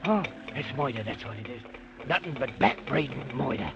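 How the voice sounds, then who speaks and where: An actor playing a melodramatic, complaining beast of burden in an old-time radio serial. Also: male voice, medium pitch, animated, moderate pace, natural voice. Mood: grumbling, put-upon. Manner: complaining, says "moida" for murder. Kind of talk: old-time radio comic character acting. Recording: muffled, noisy old radio broadcast recording.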